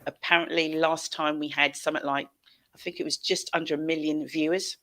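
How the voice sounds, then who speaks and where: A woman's voice: low-pitched, little pitch movement, fairly quick, pushed and bright. A middle-aged woman hosting a casual livestream from a headset, effusive and repeating phrases for emphasis. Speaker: show host. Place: small indoor room on video call.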